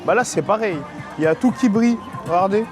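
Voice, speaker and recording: An excitable young man from France chats straight to his viewers as he wanders busy city streets. His voice sits a little high and runs on in slangy bursts, with background music mixed clearly under the talk. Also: brisk. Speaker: vlogger. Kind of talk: casual travel vlog talk.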